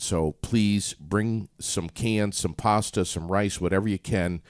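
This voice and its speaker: A man's voice: low-pitched, a little mellow, no echo, clean studio sound. A man hosting a casual morning radio show, upbeat and chatty with lots of fillers.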